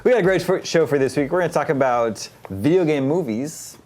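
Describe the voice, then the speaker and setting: Animated man hosting a podcast. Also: fairly high pitch, fairly quick, a little hiss or hum. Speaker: podcast host. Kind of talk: unscripted podcast banter.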